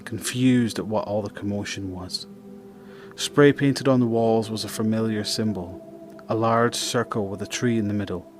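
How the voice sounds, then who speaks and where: A man narrating a scripted audio drama as a dramatic storyteller. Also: on the low side.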